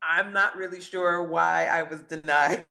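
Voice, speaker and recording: A man's voice: fairly high pitch, steady tone, unhurried, gravelly, pushed and bright. A man speaking as a physician guest in a podcast discussion, emphatic and pressing rhetorical questions, with laughs between points.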